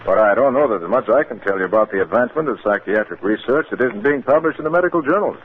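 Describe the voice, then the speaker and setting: Scholarly male doctor man acting, proud and professorial, animated pitch, 1950s radio drama, faint hiss. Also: fast, lightly acted. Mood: courteous, explanatory. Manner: professorial, explanatory. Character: cautious, scholarly male psychiatrist, proud and earnest. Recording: hissy narrow-band 1950s radio recording.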